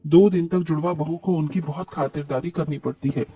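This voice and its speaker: A male storyteller narrates a scripted animated family tale. His voice is fairly high and husky, heard from slightly away from the microphone. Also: a little fast, recorded from a distance, faint music behind.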